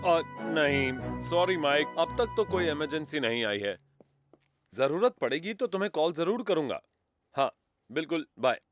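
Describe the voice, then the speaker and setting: A man voicing a helpful, sensible fireman in an animated children's cartoon dub. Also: a little high, measured pace, music in the background. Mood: friendly, sensible.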